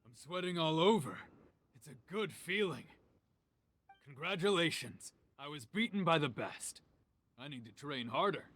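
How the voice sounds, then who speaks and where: Earnest, disciplined young male fighter for a game: forceful, expressive, humble, with music behind. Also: a little high. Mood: exerted, gracious. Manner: earnest, measured. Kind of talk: video game character acting.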